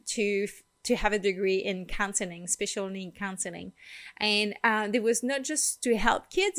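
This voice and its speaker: A woman's voice: medium pitch, fairly bright tone. A woman from Quebec with a Quebecois French accent, whose first language is French, telling her life story on her own channel with many ums and little laughs between phrases. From Quebec, Canada. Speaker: channel host. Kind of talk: personal vlog storytelling.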